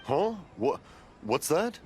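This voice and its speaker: A man's voice: on the high side, very animated, takes it slowly, heavy background noise. A man voicing a jolly, rambling old father in a video game, hard of hearing and fond of telling stories. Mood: cheerfully oblivious. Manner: storytelling, rambling, oblivious.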